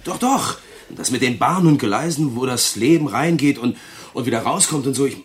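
A man's voice: medium pitch, lively intonation, moderate pace, a little hiss or hum, lightly acted. A man acting in a radio crime drama as a crude, jovial, uneducated prison cellmate who talks in a pushy, teasing, colloquial way. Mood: eager, scheming.

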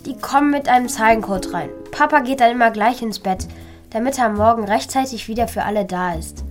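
A woman's voice: on the high side, fairly quick, soft background music, close to an everyday voice. A woman playing an eager, thoughtful, kind-hearted schoolchild who acts and narrates in a children's radio play. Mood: secretive, determined.

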